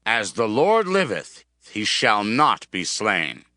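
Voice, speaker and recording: A man's voice: lowish voice, wildly swooping pitch, takes it slowly, pushed and bright, dry recording, studio quality, heavily acted. An actor playing a wrathful, commanding king of ancient Israel in a dramatized scripture reading. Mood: solemn oath.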